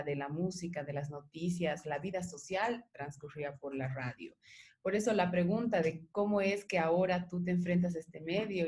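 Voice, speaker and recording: Low-voiced Bolivian woman interviewer. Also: darkish tone, slight background noise. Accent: Bolivian Spanish. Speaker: interviewer, host of a radio art programme. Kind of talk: reflective interview conversation.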